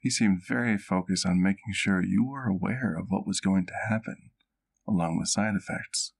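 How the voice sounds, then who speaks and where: A man's voice: deep voice, gravelly. A man playing a courtly, doting vampire doctor in an ASMR roleplay, speaking intimately to the listener in formal, precise words. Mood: concerned. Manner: formal, precise, measured wording.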